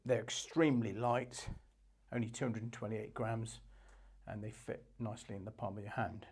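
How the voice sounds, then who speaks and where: A man giving an unscripted product demonstration in a halting, matter-of-fact way, going through features piece by piece. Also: on the low side, fairly even intonation, darkish tone, slightly rough. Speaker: shop product presenter.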